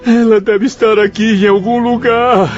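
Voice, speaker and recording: An actor playing a grief-stricken, remorseful former professor and spirit in a radio drama, crying and pleading in literary speech. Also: male voice, sits high, little pitch movement, normal speed, close to an everyday voice. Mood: sobbing, pleading. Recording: dull sound with music behind.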